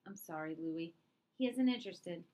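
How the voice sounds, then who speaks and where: A woman's voice: fairly low pitch, expressive, moderate pace, mellow, pitched below the performer's own voice, natural voice. A woman voicing a kindly, instructive male school headmaster in a storybook read-aloud. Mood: apologetic.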